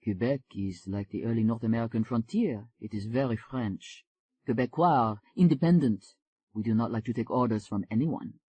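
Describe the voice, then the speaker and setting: A woman's voice: extremely low pitch, steady tone, fairly quick, few pauses, dark tone, slightly rough, pitched below the performer's own voice, full character voice. A woman doing a cool, aloof French-Canadian man.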